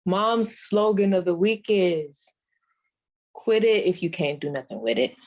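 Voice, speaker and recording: A woman's voice: on the low side, animated, a little slow, a little mellow. A woman playing a sassy, folksy old female comedian doing a wry 1940s radio stand-up monologue.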